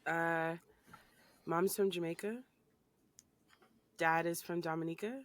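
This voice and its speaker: A woman's voice: fairly low pitch, steady tone, takes it slowly, no room sound. A woman, a guest in a casual interview, talking in an easygoing, upbeat, joking way. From Canada. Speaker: guest, hip-hop artist.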